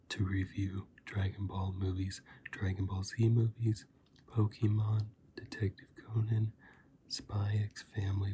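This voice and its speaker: A man hosting a casual ASMR review, speaking softly and breathily in an unhurried, rambling way, often dropping into a whisper. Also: on the low side, a little hiss or hum. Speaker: host of an ASMR review channel. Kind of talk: soft-spoken ASMR film review.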